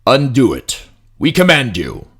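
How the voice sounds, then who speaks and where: Imperious fantasy emperor, male actor: pompous, cold, regal, very animated delivery for audio drama. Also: medium pitch, unhurried, slightly rough, voice pushed up, exaggerated voice. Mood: furious, commanding. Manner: pompous royal we, commanding. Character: imperious emperor, commanding, short-tempered, coldly smiling.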